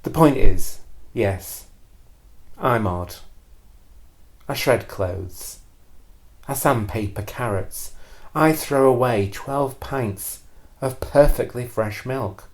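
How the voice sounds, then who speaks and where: A man telling a first-person confessional story in a deadpan, deliberate and hesitant way, repeating phrases for emphasis. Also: medium pitch. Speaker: storyteller performing a personal monologue.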